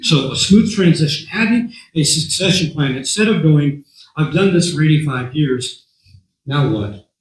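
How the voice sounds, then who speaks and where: A man with a hoarse voice speaking to a meeting as a guest speaker, talking in a conversational way, repeating words and often clearing his throat. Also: on the high side, expressive, normal speed, reverberant, low-quality recording. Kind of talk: talk to a meeting. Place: meeting room.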